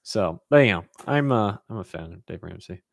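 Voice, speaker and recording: A man's voice: low-pitched, lively intonation, brisk, gravelly, no echo, clean studio sound. An American man from California hosting a casual Q&A, talking informally and rambling as he thinks aloud. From California, United States. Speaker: host answering viewer questions. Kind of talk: casual Q&A chat.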